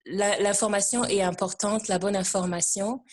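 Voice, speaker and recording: A woman taking part in a discussion, giving informal personal testimony and pausing often to find her words. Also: medium pitch, fairly even intonation. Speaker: discussion participant, immigrant services worker.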